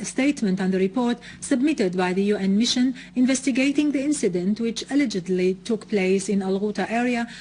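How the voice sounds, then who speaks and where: A woman news presenter reading a scripted bulletin in a formal, measured way. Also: mid-range voice, darkish tone, slight background noise, low-quality recording.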